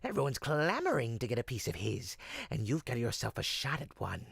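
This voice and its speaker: Gravelly little old goblin salesman, sneaky smooth talker, pitch swooping all over, roleplay audio. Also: sing-song, a little fast, fairly bright tone, no room sound, heavily acted. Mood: boastful sales pitch. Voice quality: raspy, creaky. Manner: smooth-talking, wheedling, folksy street hawker.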